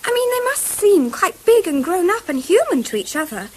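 A woman's voice: very high-pitched, big pitch swings, normal speed, a little mellow. An actress playing an earnest, imaginative little English girl, speaking precisely and eagerly in a 1970s radio drama. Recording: faintly hissy old radio broadcast.

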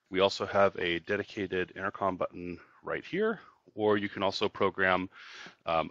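A man with a low voice walks through a product demonstration in a casual, explanatory way. He goes step by step like a company trainer. The sound is dry and a little dull. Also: fairly bright tone, no echo. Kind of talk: casual product tutorial narration.

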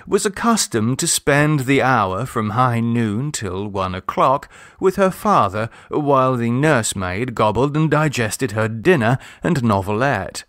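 Man narrating, slow and measured. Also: mid-range voice, dry recording, clean studio sound. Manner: measured, expressive storytelling. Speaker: audiobook narrator. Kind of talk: scripted literary short story narration.

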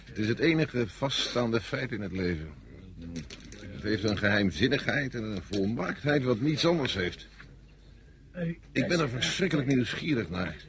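An actor playing a fatalistic, brooding soldier in a Dutch radio drama, speaking philosophically. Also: male voice, mid-range voice, expressive, normal speed, close to an everyday voice. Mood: brooding, detached. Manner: philosophical, reflective. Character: fatalistic, brooding soldier curious about death.

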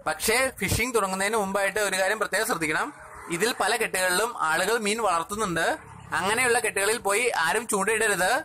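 A young man from Kerala narrates his fishing trip in a quick, explanatory voice-over. His voice sits high and sounds bright. A faint noise runs under the recording. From Kerala, India. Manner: informative, explanatory. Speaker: fishing channel host.

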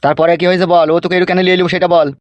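Man playing a rapid-fire, high-pitched scolding village father. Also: level tone, dry recording, natural voice. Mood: exasperated questioning. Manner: scolding, coarse, blunt. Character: gruff, exasperated middle-aged village father. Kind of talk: comic cartoon dialogue. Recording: dull, muffled cartoon audio. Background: faint music.

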